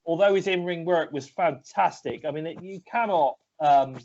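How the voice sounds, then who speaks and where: A man's voice: a little high, normal speed, darkish tone, slight background noise. A British man with a cold, co-hosting a casual wrestling chat, rambling and restarting his sentences. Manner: rambling, hesitant, full of restarts. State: bunged up with a cold. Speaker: podcast co-host. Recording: dull lo-fi sound.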